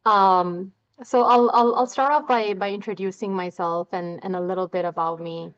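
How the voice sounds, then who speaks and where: A woman's voice: medium pitch, steady tone, moderate pace, smooth, muffled recording. A young woman from Pakistan telling her story as an interview guest, with many fillers and repeated words.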